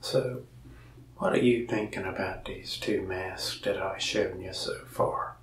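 A young man in a soft-spoken ASMR roleplay as a shop salesman, whispering and rambling in a folksy storytelling way. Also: deep voice, fairly even intonation, slow pace, reverberant, recorded from a distance, a little hiss or hum.

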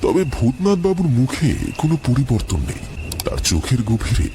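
A man's voice: mid-range voice, wildly swooping pitch, moderate pace, dark tone, slight background noise. A man giving a dramatic scripted narration of a horror story as a storyteller. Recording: music under the voice. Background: background music.

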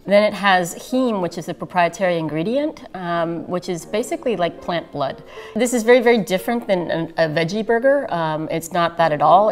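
Low-voiced middle-aged woman chef. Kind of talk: documentary interview. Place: living room of a residence.

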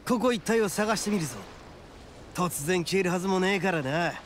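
A man voicing a brash, cocky young oni gang boss with rough, boastful delivery in a Japanese anime game. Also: high voice, lively intonation, a little fast, slight background noise. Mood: alert, determined. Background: game music.

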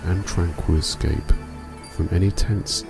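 A man narrating a scripted guided meditation for sleep in a calm, soothing, unhurried manner. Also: sits low, creaky. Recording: music bed behind voice. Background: music.

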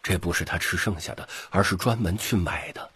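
一名男性有声书播讲者，以戏剧化的方式照稿讲述故事。. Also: male voice, deep voice, brisk, fairly bright tone, breathy edge, no echo. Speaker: audiobook narrator. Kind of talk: scripted dramatic story narration.

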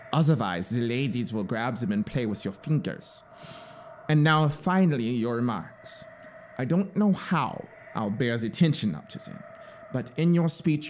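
A man's voice: fairly high pitch, a little hiss or hum, voice pushed up. A man voicing a fanatical, scheming officer in a dramatic story reading, pleading and grandiose, with a theatrical, urgent manner. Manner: theatrical, urgent, swinging between hushed asides and exclamations.

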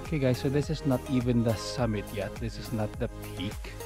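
A man's voice: mid-range voice, a little fast. A man in his forties from the Philippines, casually narrating a hiking vlog to a handheld camera outdoors and mixing English with Filipino. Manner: chatty, mixes English with Filipino. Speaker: hiking vlogger. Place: outdoors on a windy mountain trail. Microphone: handheld camera at arm's length. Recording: windy outdoor audio with music.

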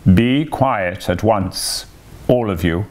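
Man as a stern old badger, dry and low. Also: a little mellow. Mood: severe. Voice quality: thin, dry. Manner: slow, severe, commanding. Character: stern, wise, fatherly old male badger. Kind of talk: animal storybook character voice.

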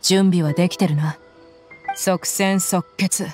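A woman's voice: on the low side, steady tone, takes it slowly, a little mellow, soft background music. An actress playing a tough, confident young woman mercenary in a Japanese anime game, with blunt, intimidating phrasing. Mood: cool, threatening.

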